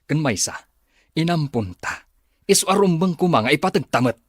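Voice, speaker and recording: An actor playing a caring, protective father in a radio drama, earnest and emotive, with a husky voice and an Ilocano accent. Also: fairly high pitch, animated, moderate pace, no room sound, clean studio sound. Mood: reproachful. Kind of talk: Filipino radio drama acting.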